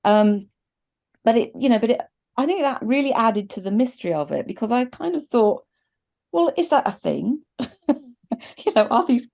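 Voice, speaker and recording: A woman's voice: a little high, animated, normal speed, creaky, pauses often, darkish tone. A middle-aged woman in casual discussion as a podcast co-host, talking in a thoughtful, hedging, conversational way on a video call. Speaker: podcast co-host reviewing films. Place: indoors at a desk, video call with virtual backdrop.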